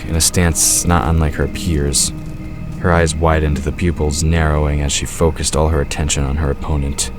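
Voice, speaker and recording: A man giving scripted narration for a fantasy audio drama. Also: very deep voice.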